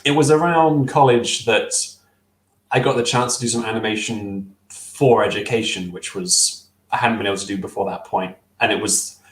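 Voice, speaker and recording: Young guy on a headset call, lowish voice, lots of ums and restarts, roomy echo. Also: moderate pace. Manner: thoughtful, hesitant, many fillers and restarts. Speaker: stop-motion filmmaker guest. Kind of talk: casual interview answers. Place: small room at home. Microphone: headset on video call.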